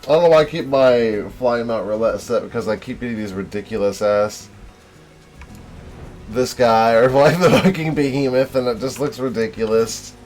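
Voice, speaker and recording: A man's voice: medium pitch, moderate pace, darkish tone. A man doing casual livestream gaming commentary, rambling and sweary with a self-deprecating manner. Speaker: game livestreamer.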